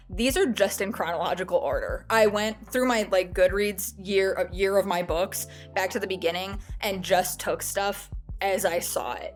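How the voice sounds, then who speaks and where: A woman with a slightly stuffy, cold-recovering voice, talking casually as a book review vlogger in a chatty, self-deprecating way. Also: medium pitch.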